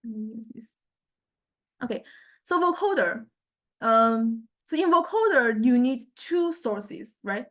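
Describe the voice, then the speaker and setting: A woman teaches in an informal, unscripted way, walking through a software demo. Her voice sits fairly high and she takes her time. The phrasing is halting and hesitant, with frequent little check-ins. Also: lively intonation. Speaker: lecturer.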